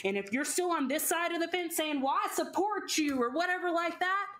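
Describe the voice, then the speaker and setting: A woman's voice: extremely high pitch, animated, fairly quick, a bit bright. A young woman with a Texas accent, speaking emphatically and directly to viewers as a panelist in a roundtable discussion, on a headset mic at home. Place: home room, indoors.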